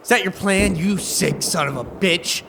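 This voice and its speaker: High-voiced, jittery young man acted by a man. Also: normal speed, creaky, bright, forceful tone, slight background noise, full character voice. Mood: shaken, rambling. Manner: stammering, frantic. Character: panicky desperate young man in zombie apocalypse, rambling, jittery. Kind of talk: horror audio drama acting.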